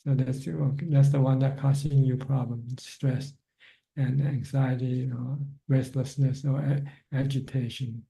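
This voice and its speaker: A man's voice: mid-range voice, flat delivery, a little slow, creaky, warm tone. A man guiding a caller in meditation over a video call, speaking plainly with many restarts. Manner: plain, repetitive guidance with restarts. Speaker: meditation teacher answering questions. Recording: dull lo-fi call audio.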